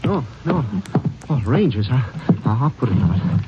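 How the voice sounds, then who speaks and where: A man's voice: medium pitch, sing-song, measured pace, over loud music. An actor playing a nervous, stammering male cabin owner in a 1950s radio western. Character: jittery, hesitant male lakeside cabin owner. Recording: noisy, muffled 1950 radio recording.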